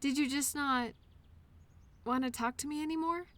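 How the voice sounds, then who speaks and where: A woman playing an innocent, childlike imaginary friend in an intimate audio drama, speaking earnestly and eagerly with wistful, hesitant pauses. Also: sits high, fairly bright tone. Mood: gentle, questioning. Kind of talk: intimate scripted audio roleplay monologue addressed to listener.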